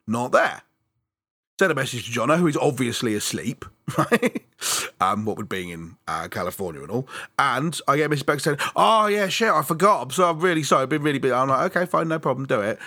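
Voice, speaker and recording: Podcast co-host, male, bright and animated, chatty with frequent laughs, dry close mic. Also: medium pitch, no room sound. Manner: chatty, self-deprecating, laughs often, halting restarts. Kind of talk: casual chat.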